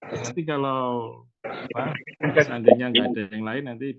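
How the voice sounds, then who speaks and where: A man's voice: mid-range voice, steady tone, moderate pace, over a noisy crowd. Man chairing an online meeting. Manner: hesitant, asks many questions. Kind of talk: casual online meeting discussion. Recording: muffled noisy call audio.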